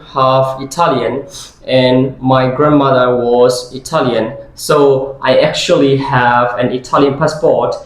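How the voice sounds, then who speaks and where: This is a man in his twenties who grew up in Brazil and speaks with Portuguese as his first language. He answers interview questions slowly and thoughtfully, at a middling pitch. His voice rings around a very echoey room. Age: 20s. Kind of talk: scripted interview role-play.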